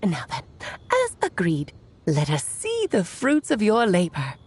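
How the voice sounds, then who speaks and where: A woman's voice: lowish voice, wildly swooping pitch, a little slow, a little mellow, husky, exaggerated voice. A woman voicing a playful, theatrical witch in a video game, teasing and amused.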